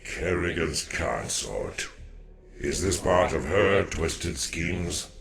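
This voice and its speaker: Gravelly, deep alien warrior elder. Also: male voice, sing-song, drawn-out words, full character voice. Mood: indignant, grave. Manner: solemn, accusing. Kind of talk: dramatic game dialogue. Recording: echoey, with music behind.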